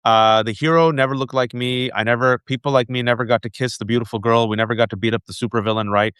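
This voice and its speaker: Animated storytelling man hosting an interview. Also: mid-range voice, fast talker, clean tone, dry recording. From United States. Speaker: host. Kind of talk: conversational interview.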